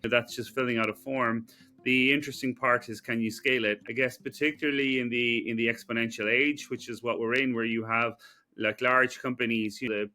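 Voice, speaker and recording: Bright-voiced Irish man, chatty. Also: medium pitch. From Ireland. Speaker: guest, business school professor. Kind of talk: conversational interview.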